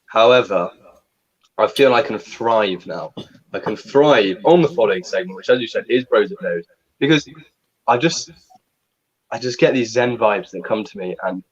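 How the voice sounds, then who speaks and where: A young man with a fairly low voice co-hosts a relaxed livestream chat. He speaks calmly and deliberately, giving steady advice. The audio is dull and lo-fi. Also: breathy edge. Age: young adult. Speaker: co-host.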